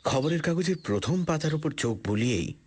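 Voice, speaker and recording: A man narrating a suspense story dramatically, in a scripted first-person style with deliberate pauses. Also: a little high, animated, slightly rough. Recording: loud music under the voice. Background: loud background music.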